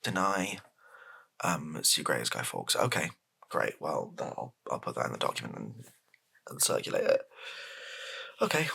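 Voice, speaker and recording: A British man improvising a softly spoken comedy roleplay as a hesitant, deadpan, rambling political advisor to the prime minister. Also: low-pitched, vocal fry, breathy edge, heavily acted. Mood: resigned, awkward.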